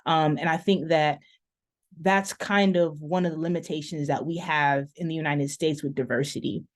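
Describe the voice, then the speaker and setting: A woman professor speaking on an online panel, thoughtful and measured, with frequent "um" pauses as she works through her ideas. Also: low voice, steady tone. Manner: thoughtful, many "um" pauses, stretches key words. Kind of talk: panel discussion remarks over video call.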